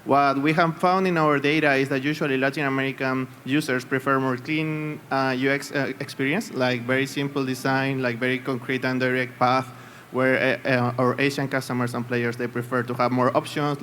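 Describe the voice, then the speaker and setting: A man from Chile speaking as a panelist at a conference, measured and a little halting. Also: medium pitch, level tone, fairly bright tone, a little hiss or hum. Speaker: panelist, company owner. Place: conference stage.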